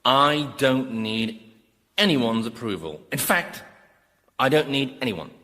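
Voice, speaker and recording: A man playing a resigned, amiable damned soul in a radio sitcom, deadpan and obliging. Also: medium pitch, slow pace. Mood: advising, resigned. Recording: long hall-like reverb.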